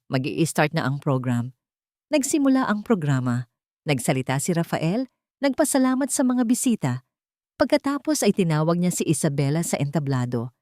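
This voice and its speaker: A woman's voice: low-pitched, a little mellow, no echo, clean studio sound. A woman narrating an audio drama in a scripted, dramatic storytelling style.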